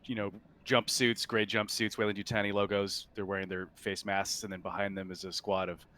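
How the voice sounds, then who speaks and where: A man with a fairly low, forward, bright voice runs a tabletop roleplaying session. He describes scenes casually, feeling out his phrasing as he goes. Slight ambient noise sits behind him. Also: flat delivery, a little fast. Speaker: game master. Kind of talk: casual tabletop game narration.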